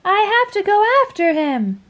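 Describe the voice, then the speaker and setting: A woman's voice: extremely high pitch, very animated, moderate pace, fairly bright tone, voice pushed up, exaggerated voice, poor audio. A woman voicing an earnest, brave young boy who exclaims urgently in a storybook.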